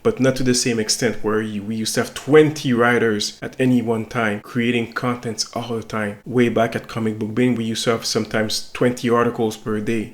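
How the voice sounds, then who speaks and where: A middle-aged French Canadian man, a native French speaker, talking casually and reflectively to his viewers about his review channel, with a self-conscious, rambling manner. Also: on the low side. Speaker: toy reviewer and site owner. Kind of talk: casual talk to camera.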